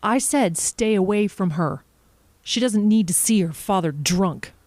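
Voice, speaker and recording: Casting: actress as middle-aged wife and mother, reproachful and scornful, very expressive pitch, dry studio-close sound, realistic audio drama. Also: measured pace, no room sound, lightly acted. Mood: cold, scornful. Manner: accusing, scornful. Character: reproachful, cutting churchgoing wife and mother of a teenager. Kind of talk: naturalistic audio drama acting.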